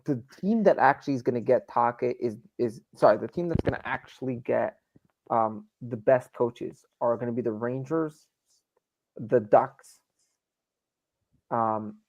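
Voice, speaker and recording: A man's voice: medium pitch, unhurried, darkish tone, dry recording, dull audio. A man from New York arguing hockey opinions emphatically in a casual live stream, often repeating himself for emphasis. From New York, United States. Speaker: sports fan show panelist. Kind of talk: casual live sports debate.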